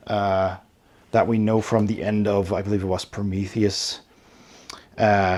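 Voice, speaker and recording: A man's voice: fairly low pitch, a little mellow. A man hosting a casual unboxing video, thinking aloud and hesitating as he describes each item. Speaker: host of a board game unboxing channel.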